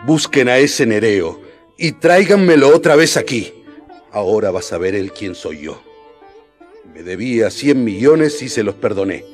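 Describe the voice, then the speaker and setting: A man's voice: lowish voice, very animated, normal speed, soft background music. An actor playing an imperious but merciful king in a radio drama. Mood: indignant.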